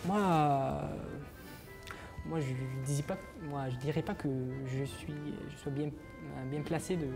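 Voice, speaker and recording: A man's voice: a little high, fairly even intonation, measured pace, vocal fry, music in the background. A young man from Uzbekistan with an Uzbek accent, a guest on a TV morning show, answering in French as a second language with many hesitations and restarts. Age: 20s. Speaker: guest, master's student.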